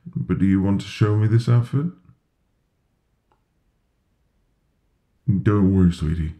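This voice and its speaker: A man playing a sweet, reassuring newlywed husband in an intimate ASMR roleplay, with a gravelly, breathy voice and a gentle manner. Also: sits low, natural voice. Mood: reassuring, warm. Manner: slow, gentle, soothing. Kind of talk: ASMR boyfriend roleplay, intimate soft delivery.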